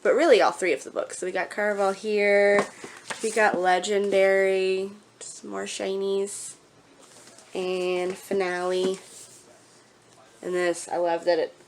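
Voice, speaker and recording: A woman's voice: mid-range voice, level tone, a little slow, pauses often, a little hiss or hum. A woman vlogger chatting casually to her viewers in a gushing, emphatic way. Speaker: book vlogger.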